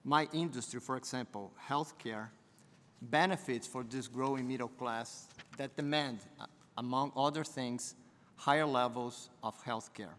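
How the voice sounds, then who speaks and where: A man's voice: medium pitch, a little slow, a bit bright. A middle-aged man with a Brazilian Portuguese accent, a native Portuguese speaker, giving formal prepared testimony as a business executive at a congressional hearing, with a few restarts. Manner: formal, reads a statement with some restarts. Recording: long hall reverb.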